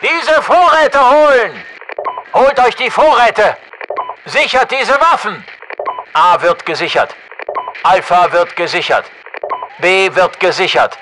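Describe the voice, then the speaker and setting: A man's voice: high-pitched, takes it slowly, pauses often, sharp tone, slight background noise, heavily acted. A male actor playing a commanding masked special forces soldier, giving clipped military reports and orders through a radio filter for a shooter game. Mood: brisk, reporting. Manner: clipped short barked orders and status reports.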